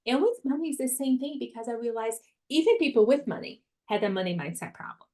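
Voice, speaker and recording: Brazilian-accented woman coach, animated and laughing, telling her story as an interview guest. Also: fairly high pitch, quick pace. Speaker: money mindset coach. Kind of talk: podcast interview, personal story.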